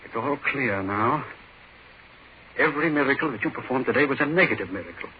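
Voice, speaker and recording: A man playing an agitated, commanding doctor with urgent, halting melodrama in a 1940s radio horror play. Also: medium pitch, lively intonation, natural voice. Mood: tense realization. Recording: muffled, hissy 1940s radio recording.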